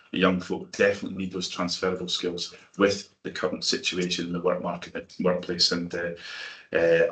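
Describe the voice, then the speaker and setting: A man with a broad Doric accent speaks in a very low, level voice. He talks as a councillor in a committee meeting, earnest and emphatic with a folksy turn of phrase, and rarely lets his pitch rise or fall. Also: fairly bright tone, reverberant. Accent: North-East Scots (Doric). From North-East Scotland.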